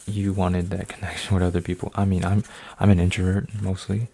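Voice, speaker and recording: A man in his twenties, an ASMR creator, rambling softly with lots of fillers and restarts while folding paper, sometimes dropping to a whisper. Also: deep voice, flat delivery, brisk, vocal fry, slight background noise. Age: 20s. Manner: meandering, full of fillers and restarts. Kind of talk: soft-spoken rambling ASMR.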